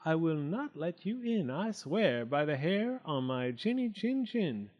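Man as little female storybook pig, sensible, firm, polite, raised pitch, lively. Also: a little slow, highs cut off.

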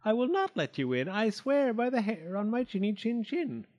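A man's voice: very high voice, expressive, normal speed, darkish tone, pitched above the performer's own voice. A man voicing a timid little female storybook pig, polite and pleading, with a piping, quivering delivery. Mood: frightened, defiant.

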